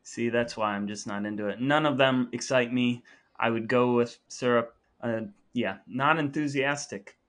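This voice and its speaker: Guy with a bright crisp-edged voice, chatting and telling stories on a podcast. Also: mid-range voice, normal speed. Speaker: podcast co-host. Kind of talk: casual storytelling podcast talk.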